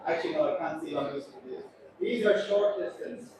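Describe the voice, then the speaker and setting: A man's voice: fairly high pitch, lively intonation, moderate pace. A man, a cruise ship captain, giving a chatty, joking announcement to passengers. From Ireland. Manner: chatty, joking, self-deprecating. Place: large echoey atrium on a cruise ship. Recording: echoey hall from far off, crowd noise.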